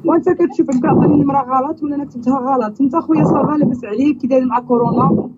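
A man's voice: extremely high pitch, steady tone, racing. A man putting on the voice of a chatty young woman from abroad looking for a husband, speaking with a Moroccan accent on a prank phone call. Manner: chatty, pleading, repeats "you understand?". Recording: dull lo-fi sound with faint noise.

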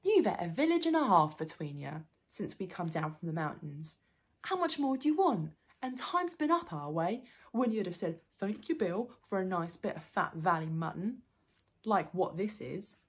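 A woman voicing a big, hungry, bad-tempered male troll with rough rustic slang, in a storybook reading. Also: fairly low pitch, very animated, normal speed, heavily acted. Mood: quarrelsome, angry.

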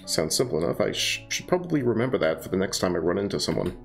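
A young man reads a visual novel aloud into a headset mic, breaking off for jokes and asides. His voice is low and gravelly, yet his pitch moves around a lot. Faint guitar music plays behind him. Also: big pitch swings, fast. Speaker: streamer reading a visual novel. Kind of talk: casual let's-play narration.

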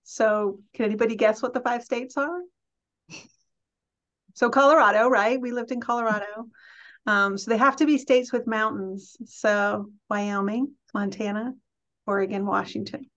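A woman's voice: medium pitch, fairly even intonation, normal speed, a bit bright. A middle-aged woman from England, a college president speaking as a guest on a video call, in a friendly, chatty way with many ums and restarts. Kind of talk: casual talk on a video call. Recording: dull lo-fi video call audio.

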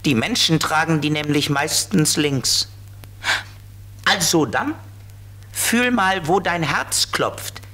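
A man voicing a grumbling flying companion with a husky, expressive voice in a children's radio play. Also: on the high side, slow, pauses often, heavily acted. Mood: patiently explaining.

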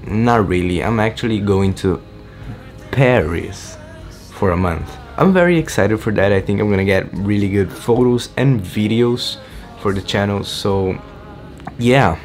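A teenage boy from Brazil, Portuguese native, chatting excitedly in a casual vlog update from his small bedroom, rambling and restarting often. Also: fairly low pitch, unhurried, soft background music. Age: teens. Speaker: vlogger. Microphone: camera-mounted shotgun mic.

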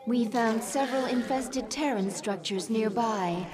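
An actress playing a composed female alien adviser in a video game, delivering mission briefings in a formal, measured manner. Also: fairly high pitch, music in the background, natural voice. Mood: informative.

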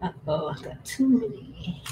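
A woman's voice: medium pitch, lively intonation, a little slow, background noise. Chatty woman crafting on a livestream. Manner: chatty, addresses viewers as y'all, frequent laughs. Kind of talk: casual live chat while crafting. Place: indoors at a home craft table.

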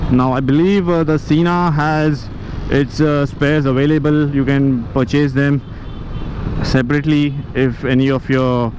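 A man with an Indian accent giving a casual, rambling gear review as a motorcycle vlogger, often repeating and rephrasing himself. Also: mid-range voice. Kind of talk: casual spoken product review while riding. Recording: outdoor traffic and vehicle noise.

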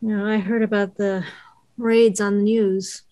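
A woman's voice: mid-range voice, level tone, unhurried, dark tone, no room sound, lightly acted. Woman reading a chatty middle-aged driver, dry sound. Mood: concerned. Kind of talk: online table read of a screenplay. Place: indoor room, video call.

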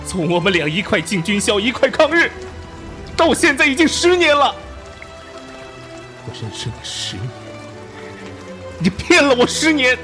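A man's voice: very high-pitched, wildly swooping pitch, measured pace, long pauses, faint music behind, full character voice. A man playing a passionate, betrayed young army officer in an emotional radio drama. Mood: heartbroken, bitter. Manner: impassioned, breaking into bitter laughter and hushed pleading.

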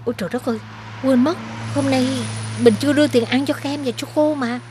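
A woman voicing a caring but gently firm young mother in a children's cartoon. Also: high voice, fairly even intonation, fairly quick, slight background noise, lightly acted. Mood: worried.

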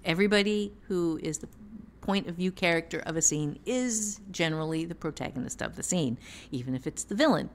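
A woman with a slightly low voice talks as a writing teacher on a podcast. She explains in a casual way, going step by step and leaning on examples. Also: expressive, fairly quick, slight background noise.

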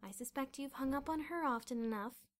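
A calm middle-aged headmaster's wife acted by a woman narrator, with a fairly high voice. She is patient, soothing and gently reproving, a sensible storybook character. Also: brisk, close to an everyday voice.

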